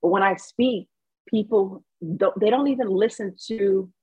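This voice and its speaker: A woman's voice: medium pitch, a little slow, slightly rough, no room sound, narrow, tinny audio. A woman basketball coach from Saint Lucia who grew up in New York, speaking with a New York accent and a light Caribbean lilt. She talks chattily in an interview, laughing often and restarting her sentences. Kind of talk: casual interview answers.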